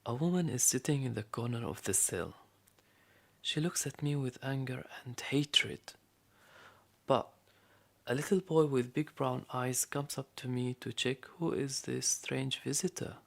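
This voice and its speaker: An actor playing a weary, imprisoned storyteller, narrating a radio play in a measured, grave way with a creaky voice. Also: male voice, mid-range voice. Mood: somber.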